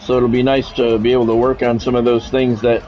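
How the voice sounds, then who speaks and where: A man's voice: mid-range voice, level tone, brisk, dull audio, soft background music. A man doing casual sports game commentary, drifting between announcer-style play calls and conversational musing.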